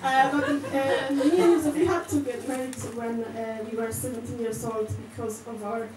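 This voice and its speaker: A young woman from Poland with a Polish accent doing a stand-up set in character as a cleaning lady, talking in a chatty, halting way full of fillers and giggles, on a small club stage with a handheld mic. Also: a little high, fairly even intonation, crowd noise. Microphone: handheld mic, heard from a distant camera. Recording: roomy club sound from far off with audience noise.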